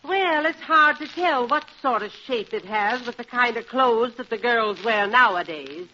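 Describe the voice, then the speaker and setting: An actress playing a prim, fussy and polite college dean in a vintage radio sitcom, speaking with genteel precision. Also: female voice, fairly high pitch, lively intonation, unhurried, bright, forceful tone. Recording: muffled old radio recording, faint hiss.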